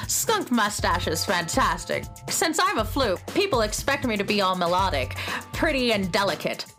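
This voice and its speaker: Feisty female flute creature, rock-loving teacher: forceful, high, swooping delivery for children's radio. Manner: brash, emphatic. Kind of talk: children's radio drama acting.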